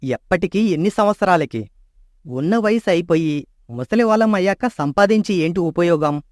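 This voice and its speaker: A man's voice: high voice, animated, moderate pace, no echo, clean studio sound. An actor playing a greedy, scheming man in a storybook tale, coaxing and sneering. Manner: persuasive, sneering.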